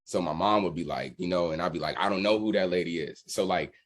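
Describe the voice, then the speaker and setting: A man with a low American voice talks as a guest in a relaxed interview. He tells personal stories candidly, using plenty of slang. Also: fast. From Washington DC area, United States. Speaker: musician guest, drummer. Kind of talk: casual interview storytelling.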